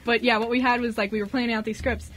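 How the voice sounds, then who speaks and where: A young woman hosting a radio show, chatting casually and excitably with friends in a small studio. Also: on the high side, fast talker, a crowd in the background. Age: young adult. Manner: excitable, giggly, animated. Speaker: radio show host and scriptwriter.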